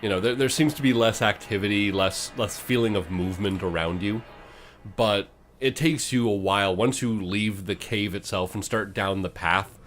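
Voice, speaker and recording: A man improvising tabletop game narration as game master, building scenes in halting fragments. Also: on the low side, fairly bright tone, soft background music.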